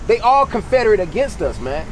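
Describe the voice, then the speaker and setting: A man's voice: high voice, very animated, fairly quick. An American man preaching in an impromptu, colloquial street sermon, exhorting and repeating phrases for emphasis. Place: outdoors on a city street. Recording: loud outdoor traffic and street noise.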